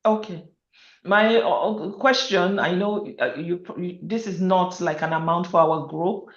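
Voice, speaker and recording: A woman's voice: lowish voice, a little slow. A woman moderating an informal group call, speaking a little hesitantly in short acknowledgements and questions. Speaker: meeting moderator. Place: remote online group call. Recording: roomy, dull call audio.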